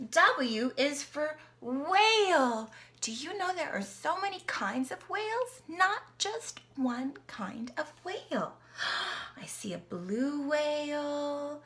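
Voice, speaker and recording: A young woman reads an alphabet picture book aloud to her niece, playful and animated, often dropping to a whisper. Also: sits high. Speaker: aunt reading to her niece.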